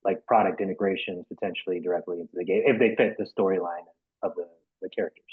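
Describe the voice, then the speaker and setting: A man hosting an interview podcast, asking rapid questions and giving brief acknowledgments. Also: low-pitched, fairly even intonation, husky, narrow, tinny audio. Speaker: podcast host.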